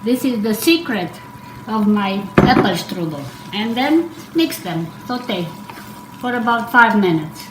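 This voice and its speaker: A woman's voice: mid-range voice, expressive, slow. A middle-aged woman with a Romanian accent, demonstrating a family recipe at home, talking unhurriedly with fillers and small self-corrections. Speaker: cooking demonstrator. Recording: echoey kitchen with background noise.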